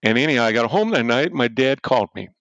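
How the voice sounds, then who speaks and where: An older man, a former football coach, telling a story loudly and animatedly as an interview guest and chuckling as he goes. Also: mid-range voice, expressive, fast talker, no room sound. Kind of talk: storytelling in a podcast-style interview. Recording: dull, dry lo-fi audio.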